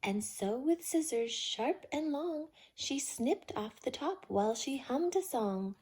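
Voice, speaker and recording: Lady reading a kids picture book, super expressive, mellow breathy voice, theatrical. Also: sits high, slow. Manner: theatrical, rhythmic rhyming delivery. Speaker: storytime host and reader. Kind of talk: expressive children's storybook read-aloud.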